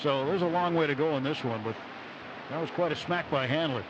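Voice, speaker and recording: A man's voice: fairly high pitch, fairly quick. A man giving conversational live commentary as the second voice on a radio baseball broadcast. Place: open broadcast booth at outdoor ballpark. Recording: dull, noisy outdoor radio broadcast. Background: loud stadium ambience.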